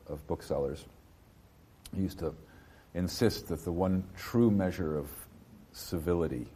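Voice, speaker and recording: A man in his fifties from New Jersey, a bookseller being interviewed, speaking in a halting way with many fillers and restarts. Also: rumbling low, dark tone, slight background noise. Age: 50s. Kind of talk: casual interview.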